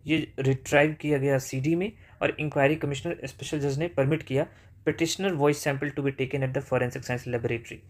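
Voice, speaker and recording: A man with an Indian English accent giving a legal lesson, explaining step by step and answering his own questions. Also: medium pitch. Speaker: law lecturer.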